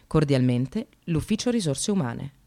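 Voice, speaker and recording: An actress voicing a formal, cold human resources official in a radio monologue, courteous and formulaic. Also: female voice, sits low, animated, a little slow, gravelly, mellow, dry recording, exaggerated voice. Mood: coldly polite.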